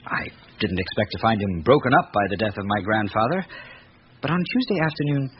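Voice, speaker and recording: A man's voice: on the low side, very animated, brisk. An actor playing an earnest, well-bred young grandson of an aristocratic family, reflective and hesitant as he gives his account in a radio drama. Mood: troubled, reflective. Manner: reflective, articulate, hesitant in recalling. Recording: muffled, noisy old radio recording.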